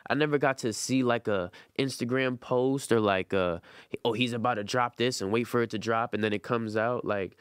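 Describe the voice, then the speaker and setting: A man's voice: mid-range voice, moderate pace, clear, resonant tone, no room sound, clean studio sound. A man, a rapper talking as a guest in a casual interview, rambling loosely with lots of slang and "you know what I'm saying.". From Brooklyn, New York. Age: 20s.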